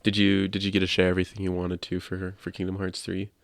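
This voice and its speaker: A man's voice: low voice, monotone, fast, creaky, no room sound. A man hosting a podcast, sharing his opinions in casual discussion. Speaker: podcast host.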